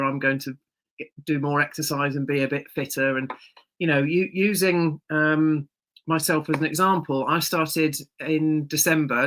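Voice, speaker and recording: A woman's voice: low-pitched, fairly bright tone. A woman co-hosting a casual chat podcast as a coach, talking in a friendly way with uhs and restarts.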